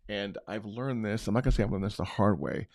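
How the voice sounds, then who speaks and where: A middle-aged man hosting a marketing show, talking unscripted in a loose, conversational way with a big booming voice. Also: fast talker, vocal fry, no echo. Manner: unscripted, conversational, many restarts. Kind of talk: casual talk-show recap.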